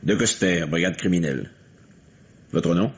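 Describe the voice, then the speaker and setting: An actor playing an unruffled, authoritative police detective, brisk and official, in old French radio mystery drama style. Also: male voice, low-pitched, soft background music. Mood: calm, official. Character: unruffled, authoritative police lieutenant of criminal brigade. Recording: muffled, noisy vintage radio recording.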